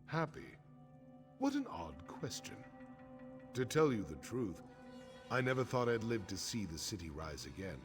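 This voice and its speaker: An actor playing a grave, honorable middle-aged orc warrior chief in a video game, speaking in a solemn, measured, frank way. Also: male voice, lowish voice, very animated. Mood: reflective. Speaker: quest-giving game character. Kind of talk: scripted video game dialogue. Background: game music.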